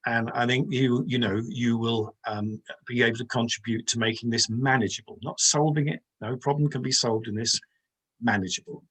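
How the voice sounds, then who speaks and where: A man's voice: medium pitch, steady tone, normal speed, a bit bright, husky, poor audio. A man speaking as a panelist, hedging often and chuckling between points. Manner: hedging, lots of "you know", chuckling. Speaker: panelist professor. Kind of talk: panel discussion.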